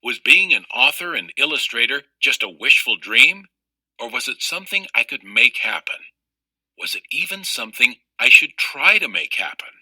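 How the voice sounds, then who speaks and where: A man reading a children's story aloud as narrator, with careful, expressive, explanatory delivery. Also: mid-range voice, normal speed, slightly rough, dry recording, poor audio.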